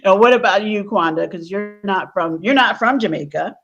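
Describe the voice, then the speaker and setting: A woman's voice: medium pitch, moderate pace, fairly bright tone. Woman host, casual livestream chat, laughs often, muffled audio. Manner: chatty, laughs often, restarts a lot.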